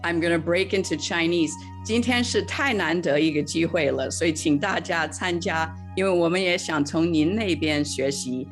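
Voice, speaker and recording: A woman's voice: on the low side, normal speed, hardly any pauses, soft background music. A woman hosting an online interview who introduces the questions in a gracious, inviting way. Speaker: host.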